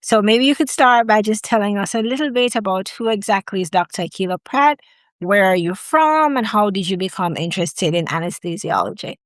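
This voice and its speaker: A woman hosting an interview, asking questions in a measured, encouraging way. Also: medium pitch, no echo. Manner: measured, encouraging, slow phrasing. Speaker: host, admissions consultant.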